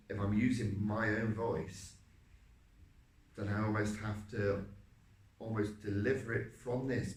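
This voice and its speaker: Low deep-voiced guy, lots of uhs, kinda far off the mic. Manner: hesitant, many fillers. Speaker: stage actor. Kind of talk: talking about a stage role. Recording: roomy, from a distance.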